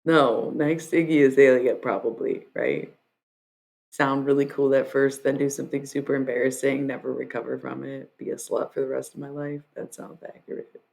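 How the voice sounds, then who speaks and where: A woman's voice: extremely low pitch, fairly even intonation, normal speed, vocal fry, darkish tone. A young woman from Michigan chatting casually on a live stream, joking about herself and breaking into rap lines. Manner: joking, self-mocking, freestyles rap lines.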